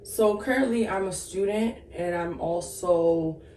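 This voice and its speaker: A woman in her thirties with a lowish voice talks things through as an interview guest, pausing and restarting as she reflects. She sits away from the microphone in an echoey room. A faint hiss runs underneath. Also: a little mellow, recorded from a distance. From Sudan, raised in the Twin Cities. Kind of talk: casual interview.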